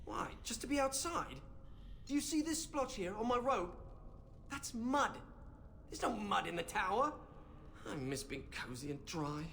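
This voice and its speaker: A man's voice: high voice, sing-song, a little slow, pauses often, fairly bright tone, a little hiss or hum, full character voice. An actor playing a fussy, bookish young male mage in a video game, earnest and hesitant as he over-explains. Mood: fussy complaining. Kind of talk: video game character acting.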